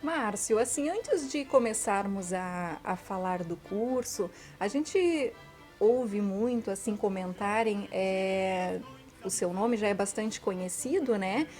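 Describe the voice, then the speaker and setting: A woman's voice: mid-range voice, expressive, slow, darkish tone, faint music behind. A woman hosting an interview show, asking questions in a polite, formal way. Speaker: show host.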